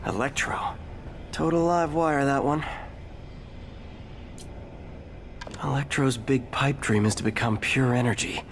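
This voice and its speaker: A man's voice: mid-range voice, fairly even intonation, moderate pace, slight background noise, lightly acted. A man playing an earnest young superhero in a video game, thinking aloud in a conversational way. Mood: explanatory. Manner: conversational, musing to himself. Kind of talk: naturalistic video game acting.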